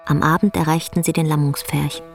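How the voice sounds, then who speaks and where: A woman narrating a literary radio play in a measured storytelling delivery. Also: sits low, flat delivery. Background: faint music.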